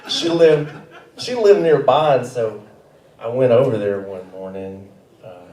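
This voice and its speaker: A young man from Arkansas with a Southern accent, doing a rambling, deadpan stand-up set in a comedy theater. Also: mid-range voice, unhurried. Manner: laid-back, rambling, deadpan with self-corrections. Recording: roomy theater mic from a distance.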